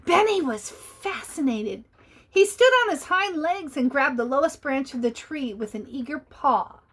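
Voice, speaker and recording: A woman's voice: sits high, animated, a little slow, fairly bright tone. A woman reading a picture book aloud to young children, playful and asking her listeners questions.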